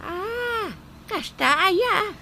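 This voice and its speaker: A shrill old provincial aunt. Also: female voice, animated, noisy background, heavily acted. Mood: suspicious. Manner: shrill, drawn-out, blunt teasing. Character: elderly provincial aunt, blunt and suspicious. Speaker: visiting aunt. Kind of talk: radio comedy drama.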